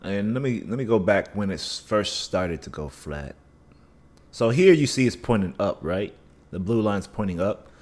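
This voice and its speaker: A man's voice: fairly low pitch, lively intonation, moderate pace, darkish tone. A man hosting a casual live stream, talking through chart analysis with a scratchy voice and a dry mouth, thinking aloud with many restarts. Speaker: livestream trading host.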